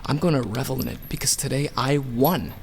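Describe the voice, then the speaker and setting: A man's voice: mid-range voice, a little fast, noisy background, natural voice. A man playing a vengeful king of the gods in a radio play, taunting and theatrical. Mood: gloating, taunting. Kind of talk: audio drama acting.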